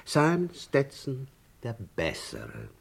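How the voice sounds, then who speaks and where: An actor playing a pompous, self-satisfied English gentleman with declamatory delivery in a 1960s German radio crime comedy. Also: medium pitch, huge pitch swings, slow pace, vocal fry, husky, heavily acted. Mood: grandiose. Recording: old 1960s radio play recording.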